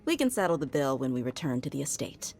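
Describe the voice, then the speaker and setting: A woman's voice: rumbling low, big pitch swings, quick pace, darkish tone, soft background music, heavily acted. An actress playing a tough, principled woman mercenary bodyguard in a game, blunt and casual in manner. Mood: easygoing. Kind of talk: game voice acting.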